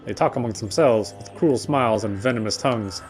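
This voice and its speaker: A man's voice: fairly low pitch, fairly quick. A man streaming a visual novel, reading its story aloud and commenting casually. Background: faint game music.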